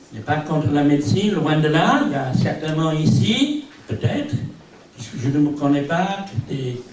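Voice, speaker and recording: An older man whose first language is English, preaching in a rambling style full of little asides and gentle self-mockery. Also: fairly high pitch, recorded from a distance. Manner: rambling, peppered with "eh bien" and "hein". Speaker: visiting preacher. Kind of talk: sermon. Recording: very echoey hall from afar, faint hiss.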